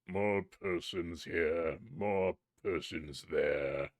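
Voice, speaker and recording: A man puts on a towering spirit from a storybook, his voice low and booming with menace. He speaks slowly, every word a threat. The sound is dry and close. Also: no room sound. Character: huge terrible male spirit.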